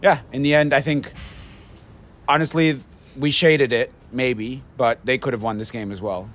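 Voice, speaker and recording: A man giving measured, casual post-match analysis as an amateur football captain. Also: mid-range voice, steady tone, fairly bright tone. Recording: clear outdoor noise with traffic.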